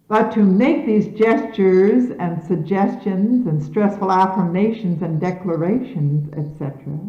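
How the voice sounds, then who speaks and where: Slow, solemn woman on echoey old tape. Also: mid-range voice, a little mellow. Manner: measured, emphatic, formal diction. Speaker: religious leader reading scripture aloud. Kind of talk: solemn sermon reading. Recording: phone-like, echoey 1970s archival tape.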